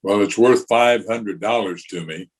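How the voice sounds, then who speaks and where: A man playing a troubled, sheepish married engineer in a radio detective drama, speaking in a hesitant, measured way. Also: lowish voice, rough recording. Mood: worried, hesitant. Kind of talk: old-time radio drama re-creation.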